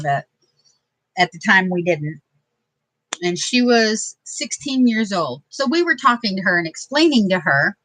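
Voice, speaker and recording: A woman's voice: fairly low pitch, fairly bright tone, dry recording, rough recording. A middle-aged woman from Detroit, telling funny family stories in a chatty, laughing way during a craft video. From Detroit, USA. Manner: chatty, laughing, self-mocking humor. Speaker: craft vlogger. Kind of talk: casual storytelling while crafting.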